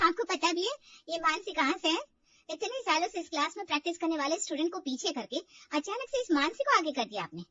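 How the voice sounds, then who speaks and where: Woman voicing a haughty, high-pitched young snob. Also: sharp tone, no room sound, recorded from a distance, highs cut off, exaggerated voice. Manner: haughty, sneering. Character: snobbish, mocking young South Delhi dance student.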